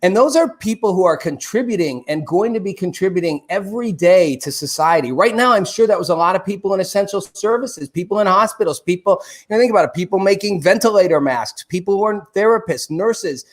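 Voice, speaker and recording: A middle-aged man hosting a live online show from a home studio, chatty and animated, with loose fillers. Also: high-pitched, a little fast, hardly any pauses. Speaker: host. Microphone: desk studio mic.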